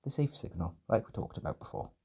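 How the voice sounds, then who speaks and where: Mellow, gentle man acting as a caretaker. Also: fast talker, close to an everyday voice. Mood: tender, soothing. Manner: soft, reassuring, tender with pet names. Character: gentle, protective male caretaker comforting an overwhelmed partner. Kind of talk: roleplay comfort audio, intimate acting. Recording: music and party chatter behind.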